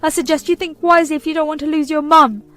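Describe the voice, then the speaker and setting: A woman voicing a manipulative, whining older mother-in-law who accuses and pleads in a shrill, melodramatic way. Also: fairly even intonation, fast, fairly bright tone. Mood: shrill pleading.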